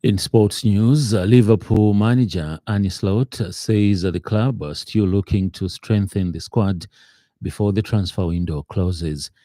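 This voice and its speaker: A man reads scripted radio news in a very dark, low voice. His delivery is formal and measured, with some halting, and the studio sound is dry and close. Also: dry recording. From Zambia.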